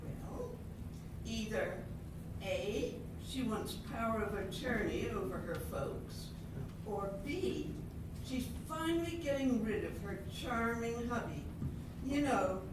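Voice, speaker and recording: A woman's voice: on the high side, expressive, slow, heavy background noise. An older woman playing a gossipy, knowing neighbor in a readers theatre show, recorded in a roomy hall from far off. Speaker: amateur readers theatre actor. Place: senior center hall.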